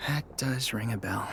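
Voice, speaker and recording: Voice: medium pitch, vocal fry, a bit bright, slight background noise, full character voice. An actor voicing a subdued, apologetic young survivor in a video game, hesitant and given to sighing. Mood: wistful, unsure. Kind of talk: scripted video game dialogue.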